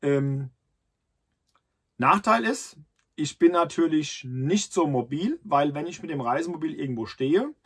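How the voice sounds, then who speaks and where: Husky-voiced man explaining to camera. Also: a little high, animated, a little slow, a bit bright. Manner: conversational, many fillers and restarts. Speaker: camping channel host. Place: inside a camper van.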